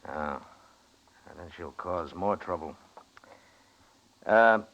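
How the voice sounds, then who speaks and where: Low-voiced laconic American frontier marshal. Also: male voice, slow, a bit bright. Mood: curious, firm. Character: steady, watchful U.S. marshal of the Old West. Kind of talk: 1950s radio western acting. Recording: dull, noisy vintage radio recording.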